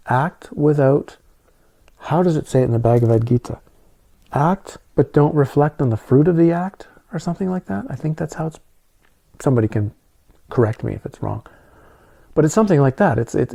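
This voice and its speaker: A middle-aged man talking calmly and soothingly in a reflective monologue, often restarting his sentences. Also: medium pitch, vocal fry. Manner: calm, soothing delivery with frequent restarts. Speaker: spiritual talk host.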